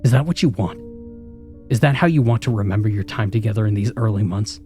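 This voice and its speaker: A man's voice: fairly low pitch, fast talker, mellow, soft background music, close to an everyday voice. A man voicing a cruel, sneering male inner critic that mocks and taunts relentlessly in a dramatized story reading. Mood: mocking. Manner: mocking, taunting, vicious. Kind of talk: dramatized horror story narration.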